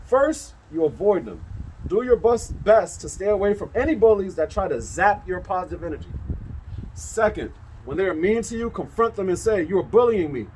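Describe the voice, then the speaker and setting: An upbeat, caring woman bus driver from a children's storybook, read by a man. The voice is high and emphatic, laying out advice instructively. There is faint noise on the recording. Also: lively intonation, moderate pace, a little mellow, rough recording. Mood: encouraging, firm.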